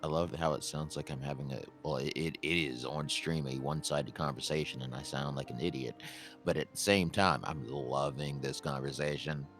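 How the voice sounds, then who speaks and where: A man's voice: steady tone, normal speed, a bit bright, soft background music. A man co-hosting a livestream, chatting casually with self-deprecating humor, and relaying a guest's words as he rambles along. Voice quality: very deep bass voice. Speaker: stream co-host relaying a guest.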